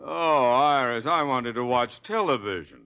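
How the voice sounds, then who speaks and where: An actor playing a henpecked, bumbling, grumbling husband in 1940s radio comedy, with a droll, plaintive manner. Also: male voice, mid-range voice, expressive, a little slow, sharp tone. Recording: muffled, very noisy old radio recording.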